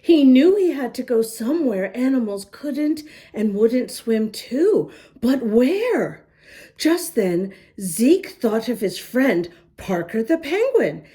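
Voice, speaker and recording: Lady doing storytime for little kids, super swoopy high voice, takes her time, soft mellow tone. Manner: expressive, sing-song, addressing small children. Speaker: children's story-time host reading a picture book. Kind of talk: children's read-aloud with asides to young listeners.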